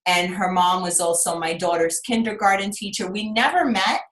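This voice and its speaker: A woman's voice: medium pitch, moderate pace. Upbeat woman coaching her team over a video call, roomy echo, lots of questions. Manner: energetic, coaching, rhetorical questions. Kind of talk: motivational group call.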